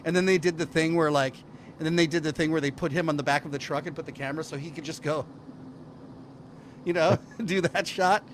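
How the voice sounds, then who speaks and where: A man's voice: on the high side, fairly even intonation, brisk, slight background noise. A middle-aged American man telling stories casually and comically among friends, with a mock-aggrieved edge. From Hawaii, United States. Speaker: comedian, panel show player.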